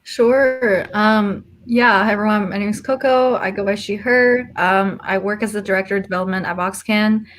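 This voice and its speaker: A woman's voice: mid-range voice, steady tone, normal speed, few pauses, slight background noise. A young woman from China introducing herself on an online panel, friendly with fillers.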